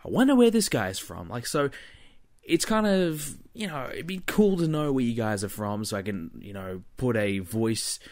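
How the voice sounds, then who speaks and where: A man's voice: mid-range voice, very animated, moderate pace, darkish tone. A man hosting a podcast solo, chatting informally and bluntly to his listeners. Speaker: podcast host. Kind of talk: casual solo podcast talk.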